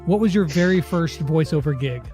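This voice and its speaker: A man's voice: fairly high pitch, animated, fairly quick, dark tone, faint music behind. A man hosting a casual interview, agreeable and upbeat as he puts questions to his guests. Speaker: interview host.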